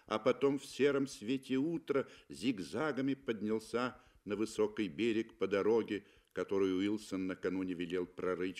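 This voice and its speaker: A man narrating a story in a measured, deliberate, expressive way, in the style of 1960s radio drama. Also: on the low side.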